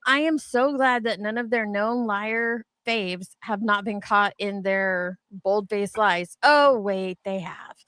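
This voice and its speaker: A woman's voice: medium pitch, takes it slowly, hardly any pauses, fairly bright tone, no room sound. A woman doing dramatic readings of online comments with casual, irreverent, sweary banter and playful attempts at accents. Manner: irreverent, sweary, tries on rough accents for fun. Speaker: co-host reading comments aloud.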